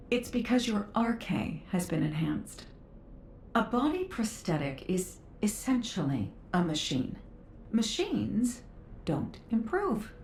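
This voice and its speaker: An actress playing a self-assured, brisk woman scientist who lectures in a precise, measured way in a video game. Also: lowish voice, darkish tone, reverberant, a little hiss or hum. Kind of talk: scripted video game voice acting.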